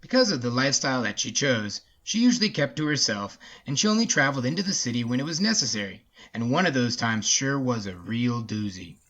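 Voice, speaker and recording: A man's voice: medium pitch, big pitch swings, a little fast, hardly any pauses, a bit bright. A man hosting a story podcast, talking and narrating in a casual, folksy way.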